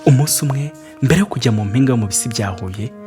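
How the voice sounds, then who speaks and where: A man's voice: medium pitch, fast talker, over music. A man reading a storybook and addressing children directly as their storyteller.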